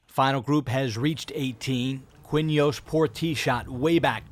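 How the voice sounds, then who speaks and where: A man doing play-by-play commentary for a televised golf broadcast, measured and steady. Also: medium pitch, dry recording. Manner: measured, builds up excitement when announcing a winner.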